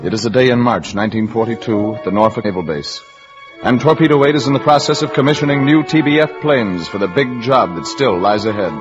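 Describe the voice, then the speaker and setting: An actor playing a solemn, dramatic narrator of a war story in 1940s radio drama. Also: male voice, mid-range voice, expressive, fairly quick, lightly acted. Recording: muffled vintage radio over music.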